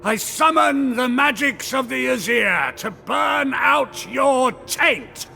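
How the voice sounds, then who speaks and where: An actor playing a stern, righteous wizard of light in a video game, solemn and declamatory with a strained, gritty voice. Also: male voice, very high voice, expressive, slow, vocal fry, pushed and bright, faint music behind, exaggerated voice. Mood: stern, defiant. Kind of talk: video game cutscene acting.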